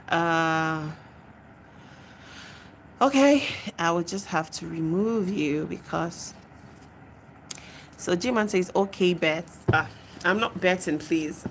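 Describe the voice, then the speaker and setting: Nigerian woman, young adult, live stream host, low-ish voice, faint noise behind, laughs a lot. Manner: chatty, laughs often, reacts with gasps. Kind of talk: casual live stream chat reading comments.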